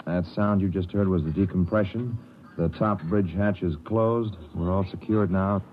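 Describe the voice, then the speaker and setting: A man's voice: low-pitched, fairly even intonation, moderate pace. A man giving a matter-of-fact live field report from a submarine control room. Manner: matter-of-fact, calling out events. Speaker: on-location radio reporter. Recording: dull field recording with loud machine noise.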